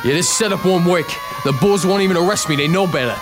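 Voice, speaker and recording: An actor playing a brash, sneering 1930s mob boss in a radio drama, with a slangy, taunting New delivery. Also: male voice, high-pitched, fast, over music, natural voice. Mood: defiant. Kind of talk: period radio drama acting.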